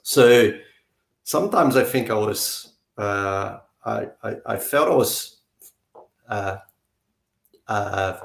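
A man from Brazil, speaking as an interview guest in a halting way, often stopping to search for words and restart. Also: lowish voice, lively intonation, creaky. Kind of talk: conversational interview. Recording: roomy echo.

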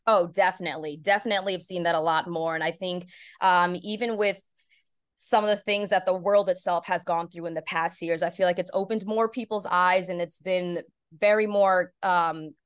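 Casting: female podcast guest, slightly low register, clean resonant tone, conversational interview delivery. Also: steady tone, moderate pace, clean tone. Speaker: podcast guest, voiceover artist and PA announcer.

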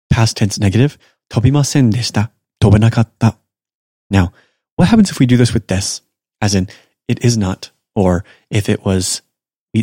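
A man's voice: on the low side, mellow, slightly rough, no room sound. A man hosting a podcast lesson, explaining step by step and repeating each example in a casual, self-joking way. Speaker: Japanese language teacher and podcast host.

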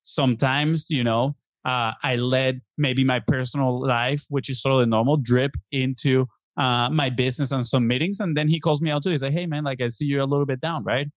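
A man's voice: medium pitch, fairly even intonation, a little fast, hardly any pauses, no room sound, studio quality. A man in his thirties from Venezuela, earnestly explaining his views as an interview guest and often checking in with "right?". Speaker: guest, business co-founder. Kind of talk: casual interview conversation.

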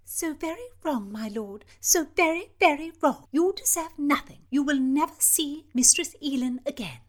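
A woman voicing a haughty young princess who scolds and barks orders in a high, loud voice. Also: lively intonation, normal speed. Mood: cold. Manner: imperious, scolding, commanding.

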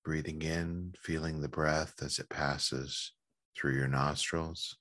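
A man leading a guided meditation in a calm, gentle, measured manner with long pauses. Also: rumbling low, no room sound, studio quality.

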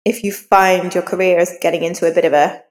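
Low-voiced woman in a reverberant space. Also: steady tone, quick pace. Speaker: guest author. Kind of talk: casual interview conversation. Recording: long reverberant room sound.

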